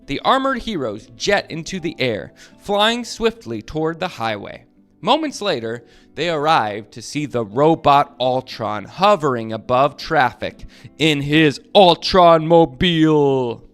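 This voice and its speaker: A dad reading a superhero storybook to kids, animated and playful, shouting sound effects. His voice sits a touch high and leaps around in pitch. Soft guitar music plays underneath. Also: a little slow, smooth. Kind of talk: children's read-aloud.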